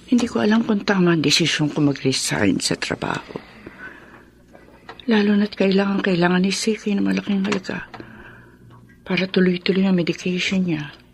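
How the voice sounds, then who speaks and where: A worried mother in a radio drama, middle-aged and burdened. She talks with anxious care at an average pitch. There is slight noise behind her. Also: unhurried, vocal fry, pauses often. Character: worried, burdened mother caring for sick child. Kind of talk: Filipino radio drama acting. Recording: faint background noise.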